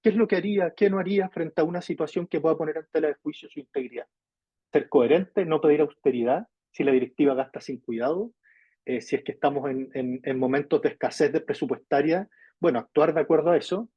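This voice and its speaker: A Chilean man presents a webinar in a somewhat high, husky voice. He explains emphatically, often asking questions and answering them himself. The audio is muffled and lo-fi. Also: fast talker, no echo.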